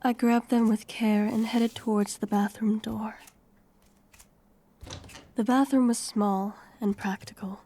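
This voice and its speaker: A young woman playing a wary, homeless heroine in her twenties, telling her story softly and with measure in an audio drama. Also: fairly high pitch, steady tone, takes it slowly, long pauses, slight background noise. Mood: reflective. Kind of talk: first-person audio drama narration and dialogue.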